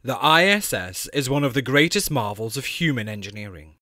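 A man's voice: medium pitch, very animated, brisk, sharp tone, no room sound, studio quality. A man delivering scripted promotional narration as a voice actor.

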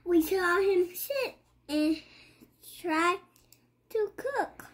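Child reader, high-pitched voice, very slow halting pace, picture-book read-aloud. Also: animated, a bit bright.

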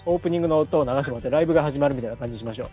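A man's voice: fairly high pitch, rapid-fire, dark tone, lo-fi sound. A man hosting an online comedy show over a video call, bantering and throwing exclamatory, scolding retorts. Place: indoors, separate room on a group video call. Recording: video call with background music.

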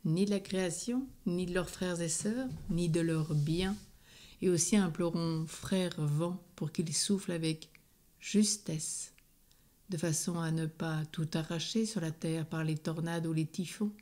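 A woman leads a rosary in a slow, steady, prayerful recitation. Her voice sits a little low and sounds soft and mellow. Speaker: prayer leader.

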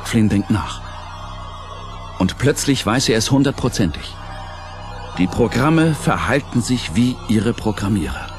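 A man narrating a radio play from a script, suspenseful and dramatic, with emphatic pauses. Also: mid-range voice. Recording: music under the narration.

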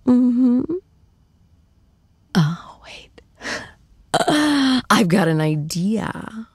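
A woman playing an affectionate, teasing girlfriend in an intimate ASMR roleplay, cooing tenderly and often slipping into soft, breathy whispers. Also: a little high, expressive, no echo, exaggerated voice. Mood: playful, adoring. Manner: cooing, playful, slow and tender. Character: affectionate, teasing young girlfriend comforting her shy boyfriend.

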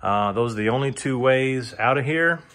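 A man giving an informal auctioneer's walk-through of items for sale inside a house, speaking haltingly and repeating himself for emphasis. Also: medium pitch, a bit bright. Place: indoors, moving through rooms of a large house. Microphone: handheld phone or camera, held by the speaker.

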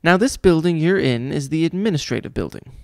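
Man voicing a pompous, gravelly school vice principal. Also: fairly high pitch, lively intonation, fairly quick, darkish tone, dry recording, studio quality, voice pushed up. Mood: pompous, scolding. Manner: condescending, mocking. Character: pompous, cruel male school vice principal, self-declared genius.

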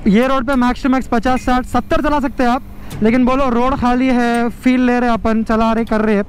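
Very high-pitched Hyderabadi young man. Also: flat delivery, normal speed, poor audio. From India. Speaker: motovlogger. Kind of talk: casual vlog narration. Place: outdoors on a highway roadside and riding a motorbike. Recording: outdoor roadside and road noise.

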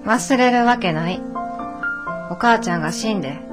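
An actress playing a stubborn, resolute young adult daughter in a radio drama, blunt and matter-of-fact, with a Kansai accent. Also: female voice, mid-range voice, animated, normal speed, music in the background, natural voice. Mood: insistent.

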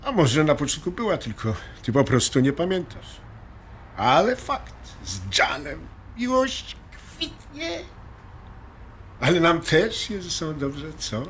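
A man's voice: on the high side, very animated, takes it slowly, fairly bright tone, a little hiss or hum, exaggerated voice. A man playing a patient husband in an audio drama, speaking in a colloquial, conversational way. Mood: reassuring. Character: Krzysztof, patient, reassuring husband in couples therapy.

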